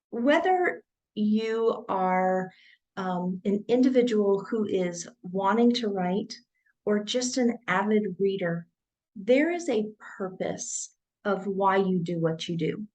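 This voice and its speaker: A woman's voice: mid-range voice, a little mellow, poor audio. A woman who writes books, talking casually as a guest in a podcast interview.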